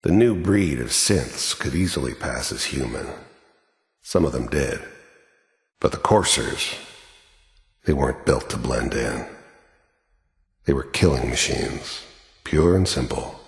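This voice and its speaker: A cold, world-weary video game villain, a middle-aged American gun for hire with an extremely low, heavily rasping voice. He speaks in a laconic, sardonic way with quiet menace. The sound is close and dry. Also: lively intonation, slow, long pauses, dry recording, heavily acted. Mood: weary, reflective. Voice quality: gravelly, raspy. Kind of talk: video game voice acting, cinematic monologue.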